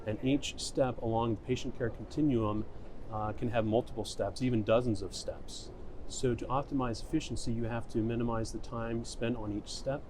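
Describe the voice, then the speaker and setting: A middle-aged man giving measured, explanatory interview answers as a medical specialist, often restating his point. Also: medium pitch, steady tone, darkish tone. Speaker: emergency radiologist being interviewed. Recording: clear ambient venue noise. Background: background ambience noise.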